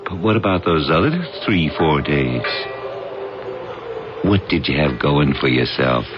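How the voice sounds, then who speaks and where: An actor playing a wry, teasing, easygoing pal in a 1980s radio drama, with a jocular, drawn-out delivery. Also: male voice, extremely low pitch, lively intonation, background noise. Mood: frank, concerned. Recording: dull old radio recording with music.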